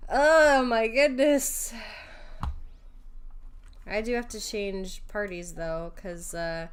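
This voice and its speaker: A woman's voice: medium pitch, steady tone, slow pace, clear, resonant tone. A woman streamer giving casual, slangy gameplay commentary with giggly fan asides. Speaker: vtuber streamer.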